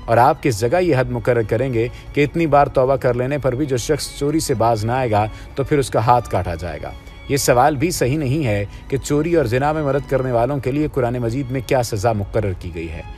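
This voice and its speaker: A man reading scripted religious narration in a measured, formal, preaching manner. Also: mid-range voice, a little mellow. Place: voice-over over stock footage with music.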